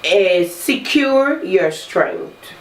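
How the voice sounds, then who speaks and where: A woman hosting a talk show, congested from a cold, coaching listeners in a slow, measured way. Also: lowish voice, expressive. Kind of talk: reflective self-help talk. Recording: roomy echo, distant mic, faint noise.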